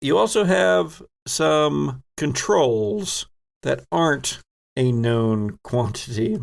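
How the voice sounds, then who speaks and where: A man's voice: on the high side, lively intonation, takes it slowly, gravelly. A man narrating a tabletop role-playing game as game master, addressing the players with dramatic storytelling.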